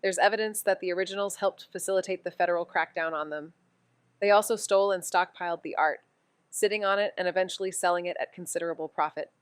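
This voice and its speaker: A woman's voice: fairly low pitch, fairly even intonation, fast, clear, resonant tone, dry recording, natural voice. A woman playing an earnest amateur investigator in an audio drama, with a casual, thinking-aloud way of talking. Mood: serious, urgent. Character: Amy, eager young woman amateur investigator who narrates. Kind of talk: audio drama dialogue and first-person narration.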